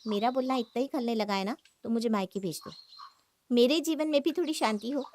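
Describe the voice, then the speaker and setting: A woman plays a sulky, scheming young wife from a cartoon moral story. Her voice sits high and she argues and grumbles like someone who feels hard done by. There is some noise in the recording. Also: a little fast, darkish tone, close to an everyday voice. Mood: sarcastic, aggrieved. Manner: complaining, argumentative.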